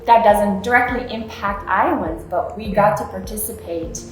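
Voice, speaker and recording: A woman's voice: medium pitch, moderate pace. Woman guest in an echoey room. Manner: earnest, with frequent fillers. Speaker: business leader guest. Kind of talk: conversational interview answers.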